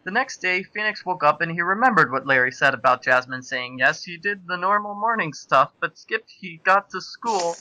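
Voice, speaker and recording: Bright, sharp male comic narrator. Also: sits high, animated, moderate pace, few pauses, no room sound, rough recording. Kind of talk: comic dramatic reading of amateur fan fiction.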